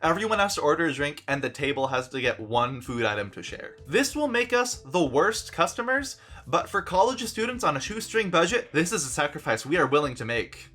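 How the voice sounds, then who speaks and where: A young man telling a travel story in a casual vlog, animated and joking, with dry asides. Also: fairly high pitch, a little fast, hardly any pauses. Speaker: vlogger.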